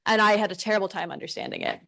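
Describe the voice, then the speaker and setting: A woman's voice: medium pitch, very fast, vocal fry, fairly bright tone. An American woman on a conference panel, answering an audience question in a thoughtful, loose way with many "like"s and "right"s. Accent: American English. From United States. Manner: thoughtful, frequent fillers like "like" and "right". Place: conference room.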